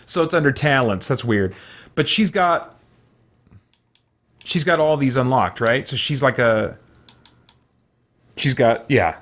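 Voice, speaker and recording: A man's voice: medium pitch, lively intonation, takes it slowly, long pauses, fairly bright tone. A man giving casual let's-play commentary, muttering to himself hesitantly and exclaiming mild oaths as he thinks aloud. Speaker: gaming video host.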